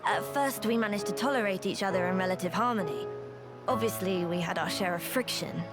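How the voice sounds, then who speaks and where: A woman playing a patient, sincere townswoman in a video game, explaining things politely and gently. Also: mid-range voice, brisk, loud music behind the voice, natural voice. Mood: solemn, explaining. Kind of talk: video game cutscene acting.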